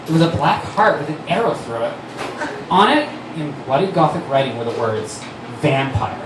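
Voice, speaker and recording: A man's voice: on the high side, big pitch swings, a little fast. A man giving a theatrical, overdramatic comic reading of a story on stage. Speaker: writer-director and actor, performing reader. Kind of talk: comic dramatic reading of fan fiction. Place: on stage at a live fundraiser. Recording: echoey distant stage recording, noisy.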